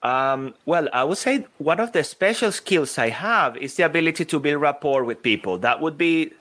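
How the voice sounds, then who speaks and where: A man whose first language is Spanish, a speaking coach talking as a guest in a podcast interview, energetic and emphatic, often breaking off his own sentences. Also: on the high side, moderate pace, slight background noise.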